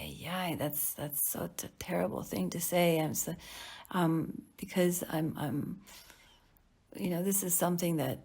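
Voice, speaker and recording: A middle-aged woman, a Sicilian speaker, giving a candid personal talk in a reflective, halting, self-questioning way. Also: lowish voice, darkish tone, husky. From Canada, partly Sicily. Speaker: academic researcher presenting.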